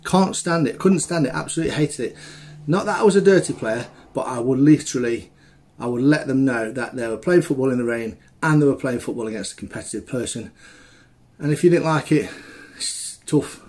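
A man's voice: mid-range voice, brisk, a little mellow. Man vlogging over background noise. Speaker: vlogger and youth football coach. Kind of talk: casual chat to camera. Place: changing spots: rooms at home, doorstep, open outdoors. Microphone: phone at arm's length. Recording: clear background noise, outdoor ambience.

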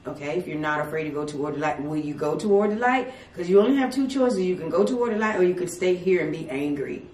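A woman with a drawl and a slightly low voice asks a spirit questions slowly and politely. She is heard from across a dim, echoey salon, picked up by a distant camera, with faint background noise. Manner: slow, calm, polite questioning. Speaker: paranormal investigator. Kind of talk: spirit communication session. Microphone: far camera. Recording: echoey room from far off.

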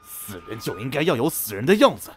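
A man's voice: mid-range voice, sing-song, a little fast, close to an everyday voice. A man playing a cold, menacing general of ancient China in a costume radio drama, commanding and taunting. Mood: taunting, bitter.

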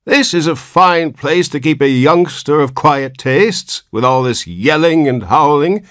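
A man's voice: on the high side, animated, measured pace, a bit bright, dry recording, clean studio sound, pitched above the performer's own voice. A man voicing a querulous man of seventy from a storybook, in a cracked, weak, whining old voice. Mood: shrill protest. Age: 70s.

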